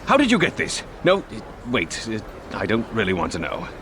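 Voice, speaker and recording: An actor playing an earnest, kindly medic and shopkeeper in a video game. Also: male voice, fairly low pitch, wildly swooping pitch, normal speed, noisy background. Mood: amazed, eager.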